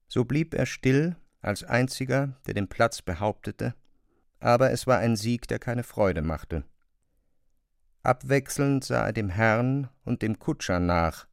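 A man narrating a literary audio drama, with measured, deliberate phrasing. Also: fairly low pitch, vocal fry, no room sound, clean studio sound.

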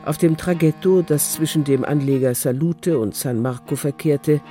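A man's voice: fairly high pitch, normal speed. A man narrating a radio play in scripted, literary prose. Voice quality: dark, mellow. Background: faint music.

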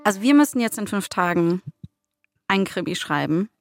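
A woman's voice: medium pitch, lively intonation, a little fast, vocal fry, no echo, clean studio sound, soft background music. A woman hosting a podcast, asking questions in a casual, conversational way. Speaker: podcast host.

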